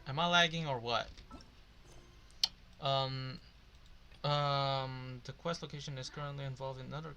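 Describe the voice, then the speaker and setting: A man's voice: medium pitch, steady tone, a little slow, long pauses, bright, forceful tone, muffled recording, slight background noise. A young man giving casual, slangy gameplay commentary, muttering asides as he reacts. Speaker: gaming streamer reacting to a story quest.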